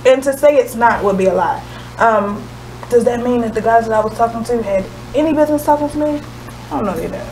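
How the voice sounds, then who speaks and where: A woman's voice: fairly high pitch, quick pace, darkish tone, a little hiss or hum, rough recording. A woman in her twenties, a radio guest talking candidly and reflectively, building her points with rhetorical questions. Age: 20s. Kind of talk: casual radio talk.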